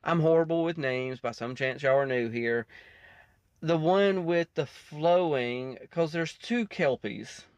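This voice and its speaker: A man's voice: a little high, takes it slowly, clean tone, no echo. A man giving casual, off-the-cuff reaction commentary in a rambling, self-interrupting way. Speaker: reaction video host.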